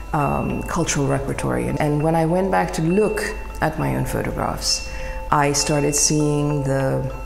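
A middle-aged woman from Iran, a photographer speaking thoughtfully about her work, searching for words with many pauses and restarts. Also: low-pitched, vocal fry, a little mellow, echoey room, long reverb tail. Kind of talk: reflective interview monologue.

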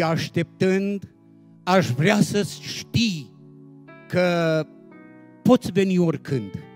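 A man reciting devotional poetry solemnly and expressively, with dramatic phrasing and deliberate pauses. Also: on the high side, darkish tone. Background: instrumental music.

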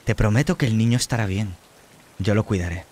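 A man voicing a menacing male monster who bargains in a measured, persuasive way in a tabletop horror game. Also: lowish voice, darkish tone, a little hiss or hum, natural voice. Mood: coaxing.

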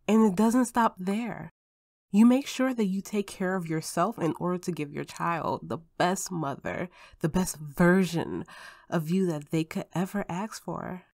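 A woman playing an affectionate, reassuring spouse and step-parent in an ASMR roleplay, speaking in a gentle, soothing, tender manner. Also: mid-range voice, no room sound, clean studio sound. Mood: earnest, reassuring.

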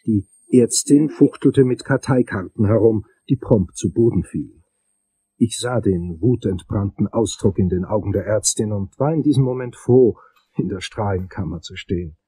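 An actor playing a wry, world-weary investigator who narrates his case in a crime audio drama, husky and dry in delivery. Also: on the low side, moderate pace, warm tone, slight background noise, lightly acted, low-quality recording. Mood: tense, brooding.

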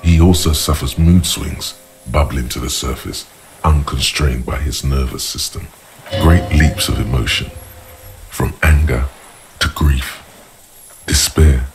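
An actor playing a grave, observant inner-voice narrator in a video game, speaking in measured, portentous literary prose. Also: male voice, a bass voice, rough recording. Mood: grave, analytical.

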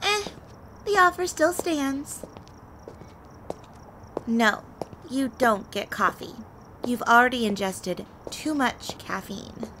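Casting: actress as playful, flirty female vampire for audio roleplay; bright voice, unhurried, teasing delivery. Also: a little high, slight background noise, rough recording. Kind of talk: intimate audio roleplay acting addressed to the listener.

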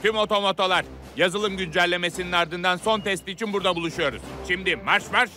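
Stern veteran car for a kids' cartoon, slightly high male pitch, curt official orders, music underneath. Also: brisk, bright, forceful tone. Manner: curt, commanding, formal. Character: stern older-model male car running tests. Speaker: test supervisor. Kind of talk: animated children's cartoon acting.

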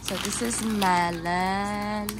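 A woman's voice: medium pitch, steady tone, extremely slow, very noisy. A young woman chatting casually as a vlogger, talking to camera and to the people around her. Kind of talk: casual vlog chat.

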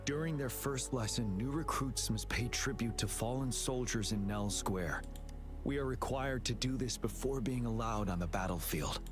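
An actor playing a stern young male general in a video game, speaking in a low, measured, grave way. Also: close to an everyday voice. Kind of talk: game dialogue. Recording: game voice over background music.

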